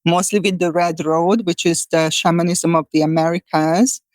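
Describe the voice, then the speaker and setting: A woman with a Hungarian accent talks through her path as a healer, pausing on fillers and restarting her sentences. Her voice is smooth and clear, with a level, even melody that rarely rises or falls. The recording is dry and close. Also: on the low side, no room sound. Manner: thoughtful, many fillers and restarts. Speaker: guest, shamanic healer. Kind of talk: conversational interview answers.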